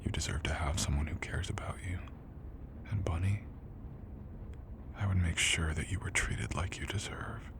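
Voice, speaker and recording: Very deep, tender young college jock, acted by a man. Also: normal speed, pauses often, in a whisper. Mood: hushed, intimate. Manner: soft, teasing, gently reassuring. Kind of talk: intimate boyfriend ASMR roleplay. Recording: clearly audible background noise.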